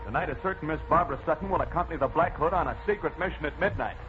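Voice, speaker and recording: An actor playing a confident amateur sleuth and masked hero in 1940s radio style. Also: male voice, fairly high pitch, very fast, natural voice. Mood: resolute. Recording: muffled, noisy old radio broadcast.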